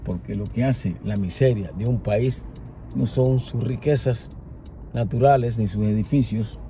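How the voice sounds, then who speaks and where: A man's voice: mid-range voice, measured pace. A man with a Cuban Spanish accent giving a blunt, heated, rambling opinion monologue outdoors by a busy street. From Cuba. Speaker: vlogger. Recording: loud traffic noise outdoors. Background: loud traffic.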